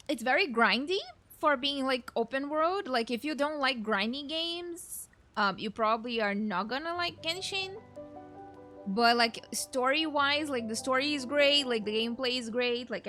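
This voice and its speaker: A woman's voice: sits high, pushed and bright. A young adult woman giving casual, rambling let's play commentary as a game streamer. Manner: informal, rambling, frequent "like".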